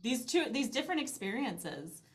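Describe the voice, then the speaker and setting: A woman's voice: fairly high pitch, very animated, normal speed. A middle-aged woman talking casually as an interview guest, telling stories in a chatty, self-deprecating way. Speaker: podcast guest, language-learning business owner. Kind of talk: casual interview conversation, anecdotal.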